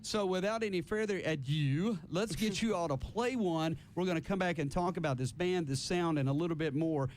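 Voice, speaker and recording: Guy radio host chatting with a band, kinda high voice, rambly, some rumbly noise behind him. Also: normal speed, few pauses. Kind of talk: casual live radio interview. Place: radio studio.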